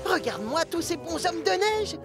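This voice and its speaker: Friendly little boy cartoon train, childlike n earnest, high pitched. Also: very animated, moderate pace, over loud music, heavily acted. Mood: cheerful.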